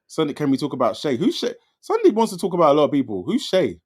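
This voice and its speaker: A man's voice: fairly high pitch, huge pitch swings, darkish tone. A man, co-hosting a casual live-stream chat show. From England. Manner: easygoing, drawn-out "man" and "yeah" fillers. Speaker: podcast co-host.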